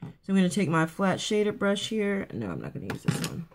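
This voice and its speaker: A woman with a raspy morning voice casually talking through a quick makeup routine, rambling a bit with fillers and restarts. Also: mid-range voice, sing-song, a little hiss or hum. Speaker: beauty vlogger. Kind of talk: casual makeup tutorial chat. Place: at home getting ready for work.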